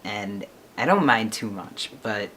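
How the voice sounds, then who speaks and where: A man's voice: fairly low pitch, animated, slow pace, bright, forceful tone, slight background noise. A man in his twenties chatting casually to his camera, rambling and laughing often. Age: 20s. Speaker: vlogger.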